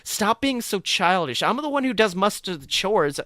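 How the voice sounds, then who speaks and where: A man's voice: high-pitched, very animated, normal speed, pushed and bright, no room sound, studio quality, voice pushed up, full character voice. A man voicing a stern, weary young woman in a story, a scolding sister. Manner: scolding, complaining.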